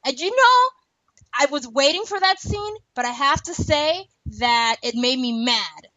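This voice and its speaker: High-voiced woman chatting casually on a podcast. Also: expressive, a little slow, sharp tone, dry recording, highs cut off. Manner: chatty, opinionated, informal. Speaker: podcast host. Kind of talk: casual podcast chat about a TV series.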